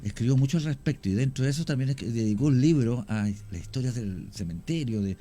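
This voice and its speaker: A man's voice: medium pitch, animated, fast, dark tone. A man with a Chilean accent, a radio guest telling cemetery stories at length with frequent hesitations. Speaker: guest storyteller on cemetery history. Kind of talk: radio conversation, storytelling. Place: radio studio.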